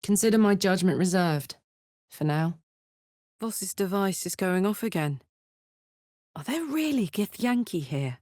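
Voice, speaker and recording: A woman playing a brash, big-hearted tiefling barbarian in a video game, blunt, earthy and expressive. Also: mid-range voice, a little slow, long pauses, no room sound, studio quality, natural voice. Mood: wary, wry. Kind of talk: video game character voice lines.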